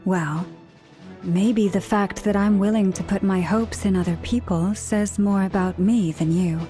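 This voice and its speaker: Woman playing a calm sincere priestess in a game, warm dark tone, gently persuasive, soft music behind. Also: mid-range voice, measured pace, natural voice. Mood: assured, sincere. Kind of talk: video game voice acting.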